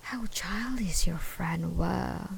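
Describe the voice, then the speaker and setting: An obsessive, jilted ex-girlfriend, acted by a woman in an ASMR roleplay. She speaks extremely slowly, in a lowish voice thick with gravelly fry. Every phrase is drawn out into an intimate, possessive threat. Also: full character voice. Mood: bitter, resentful. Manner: slow, menacing, drawn-out taunting with dark chuckles and whispers. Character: obsessive, menacing jilted ex-girlfriend hunting her beloved.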